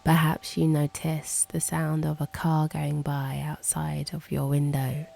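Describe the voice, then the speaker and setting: A woman with a low, mellow, creaky voice guides a meditation. She speaks in a soft hush, leaving long pauses and letting the ends of her phrases turn breathy. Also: completely flat delivery, faint music behind. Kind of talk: guided meditation, slow and hushed.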